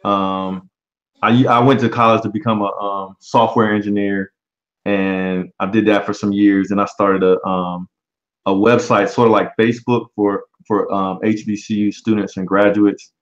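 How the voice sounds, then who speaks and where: A man in his forties hosting a casual livestream chat, relaxed and often pausing on um. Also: on the low side, highs cut off. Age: 40s. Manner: relaxed, frequent um fillers. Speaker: livestream host. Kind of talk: casual live-stream chat.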